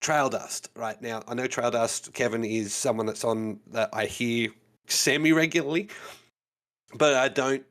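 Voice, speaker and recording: A man's voice: mid-range voice, a little slow, a bit bright. A man with an Australian accent, co-hosting a casual podcast chat and explaining his points with lots of "you know"s. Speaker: podcast co-host.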